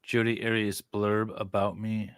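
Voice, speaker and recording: Sarcastic man chatting, bright, dry sound. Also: fairly low pitch, flat delivery, unhurried, smooth, no room sound. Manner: sarcastic, mocking asides. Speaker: host. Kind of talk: casual chat with read-out web page and commentary.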